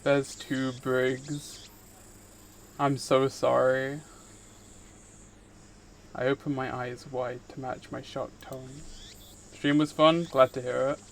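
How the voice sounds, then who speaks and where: A man's voice: medium pitch, level tone, slow pace, vocal fry, pauses often, a little hiss or hum. A man live streaming, reading visual novel narration aloud and chatting casually with viewers in between. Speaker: streamer, VTuber host.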